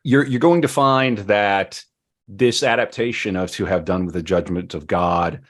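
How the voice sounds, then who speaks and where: A man hosting a podcast in casual talk, with a wry, joking manner and halting pauses. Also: fairly low pitch. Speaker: podcast host.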